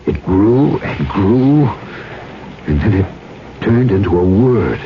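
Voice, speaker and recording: A man's voice: lowish voice, very animated, slow pace, exaggerated voice. Radio mystery role: poor, beleaguered young man, pleading and resentful, on a dull, noisy old recording. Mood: brooding, dark. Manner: hesitant, pleading, repeats his words. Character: poor, put-upon young nephew, earnest and resentful.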